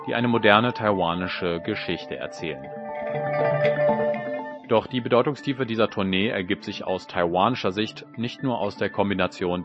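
A man's voice: sits low, lo-fi sound. A man presenting a culture programme, reading a scripted radio report.

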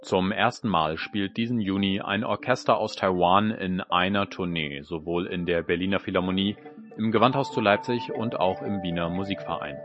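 A man's voice: low voice, moderate pace, muffled recording, faint music behind. A man presenting a culture programme, reading a scripted radio report.

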